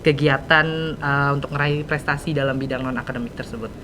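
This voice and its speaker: A man's voice: fairly even intonation, a little fast. A teenage male with a Jakarta Indonesian accent, hosting a casual interview in slangy, hesitant speech full of fillers. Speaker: podcast host. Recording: clear background noise, low rumble.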